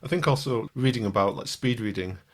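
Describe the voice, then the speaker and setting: A man's voice: mid-range voice, a little fast. A man hosting a podcast in casual conversation, self-deprecating, stuttering and restarting his sentences. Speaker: podcast host.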